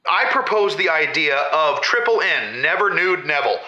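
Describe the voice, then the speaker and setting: Bright, forceful, earnest convention promoter. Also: male voice, fairly high pitch, sing-song, normal speed, muffled recording, heavily acted. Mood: earnest enthusiasm. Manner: earnest salesman patter with hesitant fillers. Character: earnest, chipper male convention organizer promoting a prudish community. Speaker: caller. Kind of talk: improvised comedy call-in radio show. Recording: roomy, echoing voice pickup.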